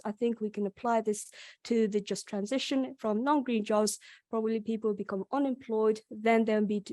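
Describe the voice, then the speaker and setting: A young woman with a Yorkshire accent presents her research at a workshop, working through each point with plenty of ums and small restarts. Her voice sits at an ordinary middle pitch, and the recording is close and dry, with no room sound around it. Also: mellow, dry recording. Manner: explanatory, with frequent fillers and restarts. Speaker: academic presenter. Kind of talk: conference presentation.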